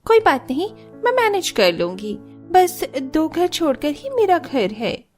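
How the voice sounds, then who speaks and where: A woman's voice: sits high, sing-song, a little slow, soft background music. A woman voicing a spirited young woman in a cartoon story. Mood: polite, declining. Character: spirited young woman back from abroad, admired by neighbourhood boys. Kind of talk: Hindi cartoon voice acting.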